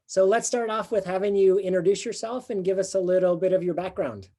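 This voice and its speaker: A man's voice: sits high, brisk, dark tone. A man hosting a local business interview series, friendly and encouraging, laughing along with his guest. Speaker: business coach, interview host.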